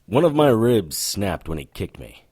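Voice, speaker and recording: Casting: a gruff, very low-voiced tough guy, terse and sardonic, narrating noir-style audio drama. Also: very animated, a little slow, mellow, exaggerated voice. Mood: wry, hard-boiled. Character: gruff, hard-drinking, wry male brawler narrating his own story. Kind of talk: hard-boiled first-person audio drama narration.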